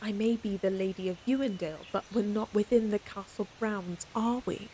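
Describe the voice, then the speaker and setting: A woman's voice: medium pitch, normal speed, dark tone, slight background noise, natural voice. A woman playing a proud, stern lady knight in an audio roleplay, scolding her companion in an exasperated but secretly fond way. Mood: defensive, haughty. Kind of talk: audio roleplay acting, speaking to the listener.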